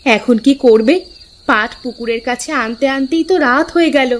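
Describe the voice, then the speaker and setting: A woman playing a practical, gently nagging farmer's wife in a village folk-tale cartoon dub. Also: a little high, normal speed, slight background noise, close to an everyday voice. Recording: music behind the voice.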